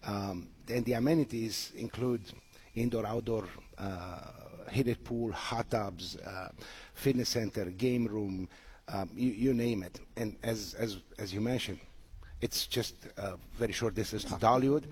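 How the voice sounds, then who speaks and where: A man from Romania answering interview questions as a resort representative, speaking haltingly with frequent hesitations. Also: on the low side, a little mellow, husky. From Romania (Transylvania). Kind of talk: unscripted radio interview answers.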